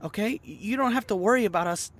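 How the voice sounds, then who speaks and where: Guy doing a scared young man in audio drama, highish voice, stammery and anxious, pitch jumping around. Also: lively intonation, fast, fairly bright tone. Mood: pleading, scared. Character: frightened, pleading young man, timid and hurried. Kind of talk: audio roleplay acting.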